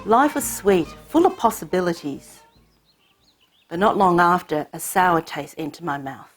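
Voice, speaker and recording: A woman raised in Hong Kong whose first language is Cantonese, telling her family's story in measured, reflective scripted narration. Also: fairly low pitch, sing-song, soft background music. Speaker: narrator of her own short film.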